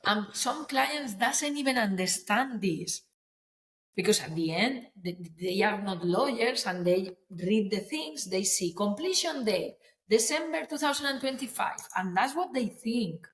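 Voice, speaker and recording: A woman's voice: mid-range voice, expressive, a little slow, low-quality recording. Woman, lawyer explaining stuff on a podcast, earnest, english. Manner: earnest, explanatory, non-native phrasing with restarts. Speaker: property lawyer, guest.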